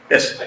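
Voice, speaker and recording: A man chairing a conference debate, brisk and a little jokey, with hesitant fillers. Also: pushed and bright. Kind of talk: moderating audience questions. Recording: echoey hall, faint noise.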